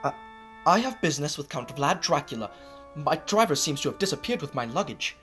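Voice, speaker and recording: A man plays a fussy, formal clerk in a radio play, eager to please. His pitch jumps about in an animated way, and music plays clearly behind him. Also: a little fast. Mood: flustered. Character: fussy, polite male English lawyer's clerk on business. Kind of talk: radio drama acting.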